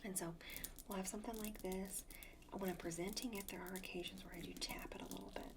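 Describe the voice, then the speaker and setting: A woman playing a polite, hesitant presenter in a soft-spoken ASMR roleplay, breathy and often near-whispering into close microphones. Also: medium pitch, animated, fast, background noise, natural voice. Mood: soft, tentative. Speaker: ASMR performer. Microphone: close sensitive ASMR microphones. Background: tapping and clicking of handled objects.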